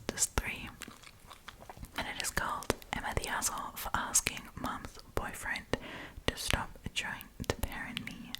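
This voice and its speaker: Female ASMR host, whispered throughout, informal storytime reading and commentary, close-miked. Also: low-quality recording. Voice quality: breathy unvoiced whisper. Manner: chatty, informal asides. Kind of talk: whispered ASMR, reading online stories aloud with casual commentary. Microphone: close propped-up microphone.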